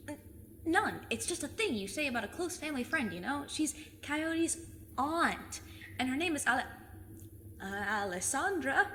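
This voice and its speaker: A woman in an audio drama playing a plucky, stubborn fifteen-year-old girl who speaks emphatically and earnestly, quick to get defensive. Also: fairly high pitch, a bit bright. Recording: long cave reverb, faint noise.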